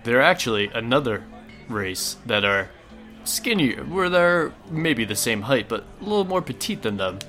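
A man playing a friendly, frank sailor in a tabletop game, speaking casually and politely with halting phrases. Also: mid-range voice, big pitch swings, sharp tone, soft background music. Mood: easygoing, explanatory.

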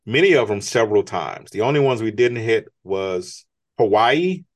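Male interview guest, low register, earnest motivational delivery. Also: expressive, moderate pace. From United States. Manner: earnest, motivational, emphatic rises. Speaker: guest, business strategist. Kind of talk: conversational interview.

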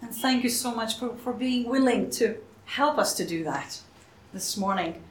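A woman minister leading a church service, speaking softly and unhurriedly, with frequent hesitations, sometimes dropping to a near whisper. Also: fairly high pitch, animated, a little hiss or hum. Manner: soft, unhurried, hesitant with fillers. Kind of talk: leading worship and interviewing a church member.

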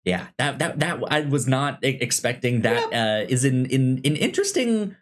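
A man with a mid-range voice chats as a podcast co-host about film. His speech is halting and stuttered, with sounds and syllables repeated, and his manner is amused and informal. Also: medium pitch, big pitch swings. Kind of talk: casual film discussion chat. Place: recorded in person with co-host.

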